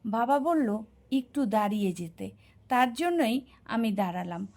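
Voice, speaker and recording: A woman plays a young cartoon son with a very high voice. He is chatty and easily exasperated, pressing his point insistently. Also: lively intonation, moderate pace, a little mellow. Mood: aggrieved protest. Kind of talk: cartoon voice acting.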